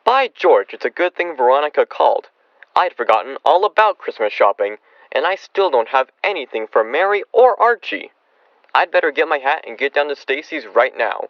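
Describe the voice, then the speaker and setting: A man's voice: fairly high pitch, very animated, normal speed, dry recording, lo-fi sound. An actor playing a harried, exasperated middle-aged father who grumbles wearily, in old-time radio comedy style. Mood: bustling. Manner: weary, put-upon, grumbling.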